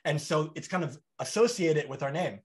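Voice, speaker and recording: Lively animated man on a video call. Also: on the high side, normal speed. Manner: animated, gestures broadly, acts out both sides of dialogues. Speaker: podcast host. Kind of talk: conversational interview. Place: bright home room.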